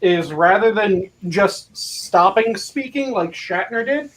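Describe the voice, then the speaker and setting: A man's voice: sits high, lively intonation, slow pace, a bit bright, poor audio. A man in casual, joking chat as one of a panel. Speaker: panel member. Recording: faint background noise.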